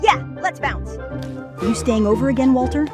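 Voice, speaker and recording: An actor voicing a casual, excitable young kid hero in a cartoon comic dub. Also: high-pitched, moderate pace, over music.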